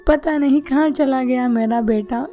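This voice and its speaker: A woman's voice: high-pitched, measured pace, dark tone, faint music behind. A woman voicing an anxious mother in a cartoon story who stammers with fear. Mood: worried. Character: worried mother of a missing boy.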